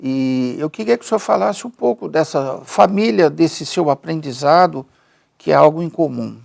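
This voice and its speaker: A man's voice: fairly high pitch, animated, normal speed, creaky, a little mellow. A Brazilian man interviewing a guest on television in a polite, formal way.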